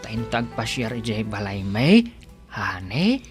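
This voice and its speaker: A man's voice: lowish voice, very animated, takes it slowly. A man with an Ilocano accent playing a bashful, devoted young village suitor in a radio drama. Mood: grateful, tender. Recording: dry studio, music underneath.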